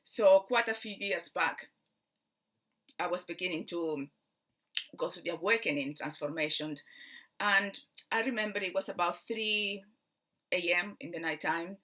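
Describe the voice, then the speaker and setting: A woman with a Spanish accent speaks in a bright, clear tone, sitting a little low in her range. She talks like a mind coach giving a spiritual lesson, earnest and patient, pausing on fillers as she explains things step by step. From Spain. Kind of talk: spiritual teaching talk.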